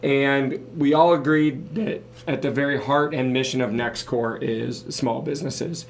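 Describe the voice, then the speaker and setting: A man hosting a podcast in a casual, conversational way, his voice hoarse as if he is losing it. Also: medium pitch, steady tone, unhurried, a little hiss or hum. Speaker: podcast host. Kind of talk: casual talk, sponsor thanks.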